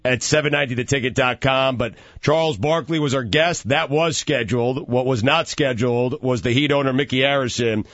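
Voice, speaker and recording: A man's voice: medium pitch, fairly quick, hardly any pauses, fairly bright tone, no room sound. Joking male sports radio host. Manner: joking, sarcastic, reads promos. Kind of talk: casual sports talk radio banter. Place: radio studio. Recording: muffled dry studio audio.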